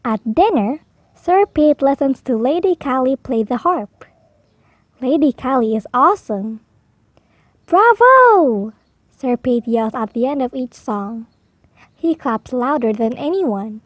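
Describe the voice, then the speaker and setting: A woman reading a children's picture book aloud slowly and clearly, with expressive delivery for beginner readers. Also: sits high, no room sound. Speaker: storybook reader. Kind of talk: slow children's read-aloud.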